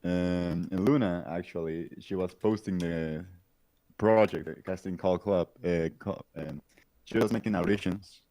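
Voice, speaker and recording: Very deep-voiced man, chatty and giggly. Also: dark tone. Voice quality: very low voice. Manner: laughs often, halting with fillers. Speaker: composer on the team. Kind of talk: casual podcast chat.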